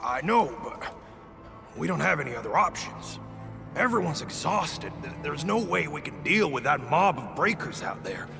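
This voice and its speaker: An actor playing a weary, anxious male refugee settler in a video game, speaking earnestly and haltingly in rough, folksy phrases. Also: a little high, very animated. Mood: desperate pleading. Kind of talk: dramatic video game voice acting. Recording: long hall reverb, music behind. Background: game music.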